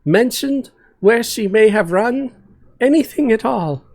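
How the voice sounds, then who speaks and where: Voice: mid-range voice, expressive, a little slow, darkish tone, voice pushed up. A man voicing an anxious, polite woman in a fantasy story, apologetic and beseeching. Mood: worried, pleading.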